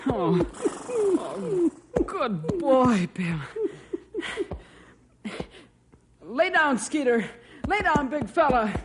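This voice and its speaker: An actor voicing a young ranch boy in an old radio drama, with a high boyish voice. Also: big pitch swings, slow, exaggerated voice. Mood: strained. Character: young boy Paul, hurt ranch kid. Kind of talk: 1950s radio drama acting. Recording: old radio recording with faint hiss.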